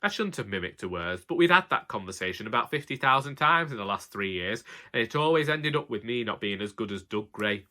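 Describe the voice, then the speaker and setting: Bright-voiced Northern English man, droll storyteller. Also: on the low side, lively intonation, brisk, hardly any pauses. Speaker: audiobook narrator. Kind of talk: comic first-person monologue as a long-suffering husband.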